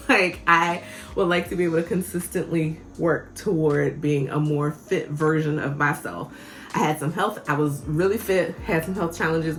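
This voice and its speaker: A woman in her forties, a vlogger and health coach, talking candidly and sounding tired, laughing often and restarting her sentences. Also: sits low. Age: 40s. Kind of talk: candid personal vlog.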